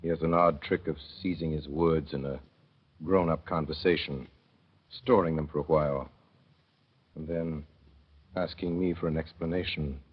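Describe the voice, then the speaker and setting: A man narrating as a gentle, thoughtful father in a 1930s radio drama, with measured, tender storytelling. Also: extremely low pitch, fairly even intonation, slightly rough. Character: gentle, thoughtful father telling of his son. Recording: dull old 1930s radio recording.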